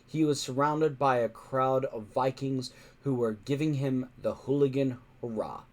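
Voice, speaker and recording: A man's voice: mid-range voice, steady tone, unhurried, darkish tone. A man reading a children's story aloud in a dramatic, emphatic narrating style. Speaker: audiobook-style story reader.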